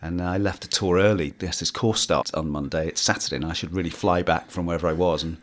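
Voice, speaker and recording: A man's voice: deep voice, steady tone, fast. A man in a casual interview, talking about his stage career in a rambling way with many restarts. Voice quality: hoarse, husky when tired. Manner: rambling, restarts often, self-deprecating. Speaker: musical theatre actor, interview guest.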